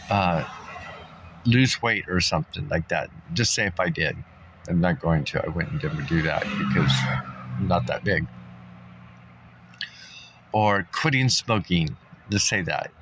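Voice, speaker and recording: Very low-voiced congested man rambling. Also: fairly bright tone, a little hiss or hum. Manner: meandering, thinks aloud with many fillers. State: congested, coughing. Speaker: vlogger. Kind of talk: rambling casual monologue. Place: sitting in a dusty spot, maybe in a car.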